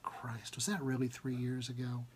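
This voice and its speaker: A man in his forties with a husky voice reads his own fiction aloud informally and expressively, with emphatic rhythm and dramatic pauses, at a desk in a room at home. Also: medium pitch, monotone, darkish tone, slight background noise. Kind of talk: expressive literary reading, informal. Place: small book-lined room at home, indoors.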